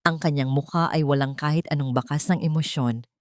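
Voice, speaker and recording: A woman's voice: deep voice, steady tone, brisk, darkish tone, dry recording, studio quality. A woman narrator reading a scripted audio drama in a dramatic storytelling style.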